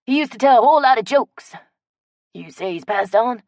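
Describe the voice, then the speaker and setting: Woman doing old man, drawl, hearty and loud, gravelly, lowish, dry close sound. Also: animated, normal speed, no room sound. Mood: folksy, hearty. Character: friendly old Cajun man, folksy and generous. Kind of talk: audiobook character voice.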